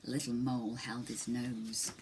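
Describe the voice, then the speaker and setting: A woman reading a children's story aloud in an expressive, dramatic way. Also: rumbling low, normal speed, heavy background noise. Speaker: storybook reader.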